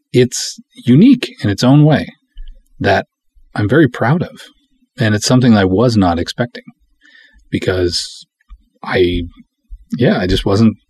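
A man hosting a podcast in a casual, rambling, enthusiastic way, with emphatic delivery and a husky voice. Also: fairly low pitch, a little mellow, no room sound. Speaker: podcast host and independent filmmaker. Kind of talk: casual solo podcast talk.

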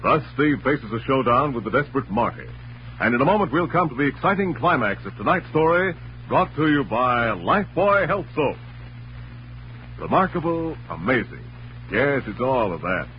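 A man's voice: medium pitch, very animated, moderate pace, slight background noise. A man working as an emphatic, dramatic announcer, reading narration and sponsor copy in 1940s radio style. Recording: muffled, humming old radio recording.

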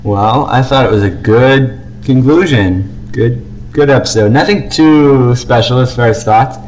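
A man's voice: medium pitch, a little slow, darkish tone, rough recording. A man co-hosting a podcast, talking casually as he discusses and gives his opinions. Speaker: podcast co-host. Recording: faint background noise.

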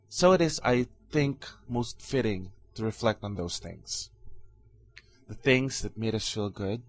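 A man hosting his own podcast, talking calmly and earnestly in a reflective solo monologue with soft fillers. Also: lowish voice, a little hiss or hum. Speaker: podcast host.

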